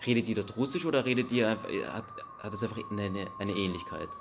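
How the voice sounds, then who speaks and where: A young man hosting a podcast, asking questions in a friendly, casual way with slang and halting restarts. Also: on the low side, background noise. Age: young adult. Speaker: podcast host. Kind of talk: casual interview chat.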